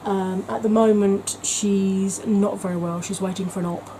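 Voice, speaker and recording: Mellow woman chatting warmly. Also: mid-range voice, fairly even intonation, moderate pace, slight background noise, poor audio. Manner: chatty and gushing, with frequent ums. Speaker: beauty vlogger. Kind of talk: casual vlog chat.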